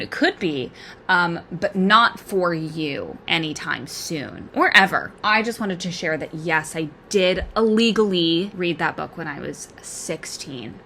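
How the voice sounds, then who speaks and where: A woman's voice: lowish voice, gravelly, fairly bright tone, a little hiss or hum. A woman in her twenties with an American accent, chatting in a candid, rambling, self-deprecating way for a casual vlog. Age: 20s. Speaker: vlogger. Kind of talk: casual confessional vlog chat.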